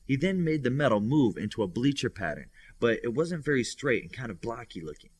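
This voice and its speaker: A man's voice: mid-range voice, very animated, a little fast, breathy edge. A man reading scripted narration from a superhero novel as an audiobook reader.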